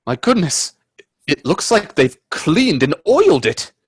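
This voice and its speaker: A man playing an earnest, anxious gentleman companion in a radio play, sounding dramatic and grave. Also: on the high side, sing-song, slightly rough, no room sound, clean studio sound, heavily acted. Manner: earnest, hesitant in dialogue, measured in narration.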